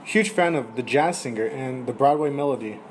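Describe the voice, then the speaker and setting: A man's voice: mid-range voice, brisk, close to an everyday voice. A man playing a polite, enthusiastic aspiring musician in a 1920s radio interview. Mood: earnest. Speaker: musician guest. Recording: noisy amateur recording.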